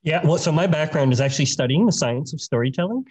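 A man's voice: a little high, expressive, quick pace, a little mellow, slightly rough, no echo. A man talking conversationally as a storyteller on a video panel. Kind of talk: conversational panel talk with anecdote. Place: indoor room on a video call.

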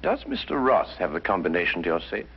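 An actor playing a courteous but commanding British detective with a precise, probing manner, in a 1950s mystery drama. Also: male voice, sits low, huge pitch swings, fast talker, breathy edge, full character voice. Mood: courteous, purposeful. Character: keen, courteous, commanding male detective questioning suspects. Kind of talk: 1950s television mystery acting. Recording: dull, muffled 1950s soundtrack.

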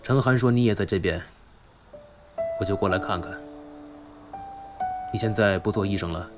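An actor playing a reserved, regretful boss in an audio drama. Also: male voice, on the low side, moderate pace, music in the background, natural voice. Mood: subdued, regretful. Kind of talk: Chinese audio drama acting.

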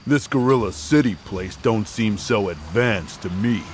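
A man's voice: lowish voice, animated, a little slow, a little hiss or hum. A man playing a dim, brash villain henchman in a video game, blunt, slangy and grumbling. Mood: dim bravado. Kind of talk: animated video game acting. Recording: loud jungle game sound effects behind.

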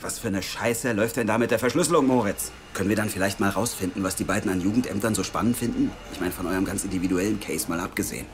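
Male actor as fast, low, swearing macho reporter. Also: a little hiss or hum, close to an everyday voice. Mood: brusque. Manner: brusque, swearing, quick-tempered. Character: brash cocky male journalist, macho. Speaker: Gerrit. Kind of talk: audio drama acting.

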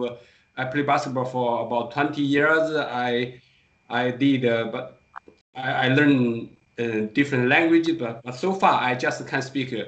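A man's voice: mid-range voice, fairly bright tone, dull audio. Chinese accent guy on a video call, hesitant, roomy echoey sound. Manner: hesitant, searching for words. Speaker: kung fu teacher. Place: small room indoors.

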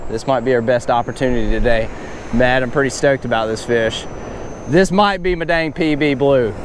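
A man giving excited, casual commentary while surf fishing on an ocean beach, breaking into whoops and exclamations. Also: mid-range voice, normal speed. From Alabama. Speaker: fishing vlogger. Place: open ocean beach outdoors. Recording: outdoor beach recording with clear surf noise. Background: surf and waves.

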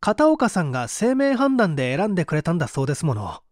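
A man's voice: on the high side, wildly swooping pitch, fast, a little mellow, no echo, studio quality. An actor playing a bitter, defensive painter in his thirties under interrogation, aggrieved and self-justifying, in a radio drama. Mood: bitter, plaintive. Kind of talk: dramatized mystery reading.

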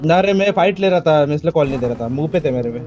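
A man's voice: a little high, expressive, rapid-fire, a loud crowd. An Indian man giving casual callouts to his teammates in a game. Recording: dull lo-fi voice chat, faint noise.

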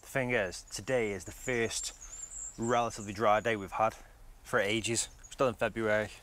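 A man's voice: fairly low pitch, steady tone, normal speed, fairly bright tone. A man, chatting casually and thinking aloud as he explores outdoors, recorded on a phone held at arm's length. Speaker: vlogger. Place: outdoors in a forest.

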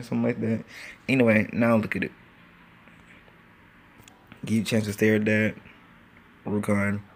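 Teen male gaming host, low and gravelly, laid-back and rambling, phone-recorded with faint background noise. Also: a little mellow. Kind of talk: casual gameplay commentary. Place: home with train passing outside. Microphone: phone screen recorder.

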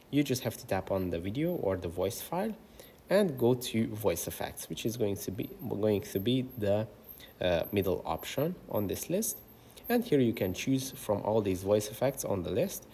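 A man hosting a video tutorial, narrating software steps to his viewers. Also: on the low side, very animated, moderate pace, a little hiss or hum.

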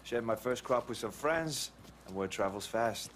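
An actor playing a scruffy, profane young American hippie veteran, slangy and colorful, in a video game. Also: male voice, medium pitch, animated, normal speed, a bit bright, slight background noise, close to an everyday voice. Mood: easygoing. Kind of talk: video game cutscene acting.

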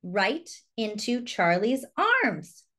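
A woman reads a children's storybook in a fairly high, very lively voice. She takes her time over each phrase and speaks simply, as host to young listeners. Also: very slow. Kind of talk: expressive children's read-aloud with asides to young listeners.

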